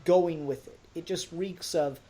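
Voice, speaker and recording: A man's voice: fairly high pitch, a little slow, warm tone. A man co-hosting a podcast, casually talking through a critique in an analytical, questioning way. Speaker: podcast co-host reviewing audio dramas.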